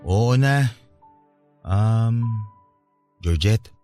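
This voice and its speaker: A man's voice: on the low side, lively intonation, very slow, warm tone, faint music behind. An actor playing a hurt, defensive young man confiding in his ex in a radio drama. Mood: subdued, confiding.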